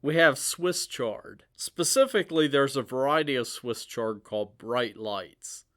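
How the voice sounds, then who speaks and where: A man hosting a casual gardening podcast in a chatty, easygoing way, at an everyday middle pitch. He drifts into tangents and chuckles at his own asides. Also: measured pace, fairly bright tone. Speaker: host.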